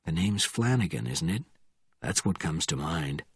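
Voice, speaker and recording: A man voicing a calm, menacing male action hero, quiet, terse and controlled, in an audiobook thriller. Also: rumbling low, normal speed, slightly rough, dry recording, clean studio sound, voice pushed down, heavily acted. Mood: cold menace.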